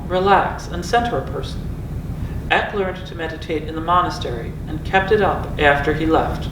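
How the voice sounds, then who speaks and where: Narrator for a scripted nonfiction read-aloud, mid-pitched voice, captured from a distance with clear background noise. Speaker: audiobook reader for a school reading project. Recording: echoey, noisy room, distant mic.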